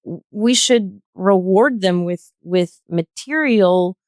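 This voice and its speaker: Female podcast guest, mid-range voice, dry recording, earnest and articulate, deliberate phrasing. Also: medium pitch, no echo. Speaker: podcast guest, healthcare worker. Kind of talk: earnest discussion.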